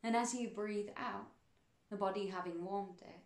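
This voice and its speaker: A woman leads a guided meditation in a soothing, unhurried way. Her mid-range voice has a noticeable fry to it. She speaks in measured phrases with pauses, and the room adds clear echo around her words. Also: medium pitch, fairly even intonation.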